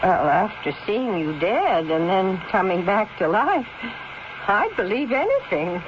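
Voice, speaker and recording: An actress playing a loyal, plainspoken older nurse-housekeeper with an earnest American voice in a 1970s radio drama. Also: female voice, medium pitch, very animated, unhurried. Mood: anxious, reassuring. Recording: muffled, very noisy 1970s radio recording.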